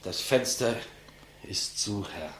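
A gentle old-radio executioner, deep and super raspy breathy voice, humble, reverent. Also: male voice, animated, exaggerated voice. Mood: meek, hushed. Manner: slow, reverent, deferential, hushed. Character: humble, gentle executioner, calm servant of the rulers. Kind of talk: German radio play acting, 1950s.

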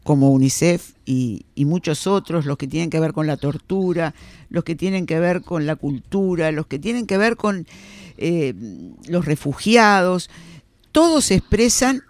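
A male interviewer with a high-pitched voice builds long, measured questions for his guest. The sound is close and dry. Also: no room sound.

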